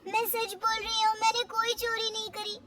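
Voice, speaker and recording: A woman's voice: very high voice, flat delivery, normal speed, sharp tone, faint music behind, pitched above the performer's own voice. A woman voicing a small, innocent orphan girl who speaks earnestly in a moral-story cartoon. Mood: pleading.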